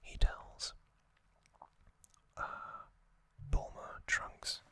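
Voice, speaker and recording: A man whispering as an ASMR host, telling a story softly in short, halting phrases. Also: no room sound. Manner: soft, unhurried, halting delivery in short phrases.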